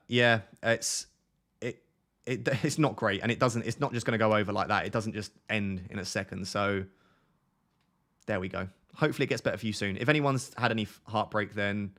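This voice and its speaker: A man's voice: lowish voice, a bit bright. A man in his twenties from Essex, with a toned-down Essex accent, chatting candidly on a live stream and answering viewers' questions, rambling through tangents and restarts. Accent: softened Essex English. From Essex, England. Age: 20s. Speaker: fantasy football streamer answering chat. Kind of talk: casual live-stream chat.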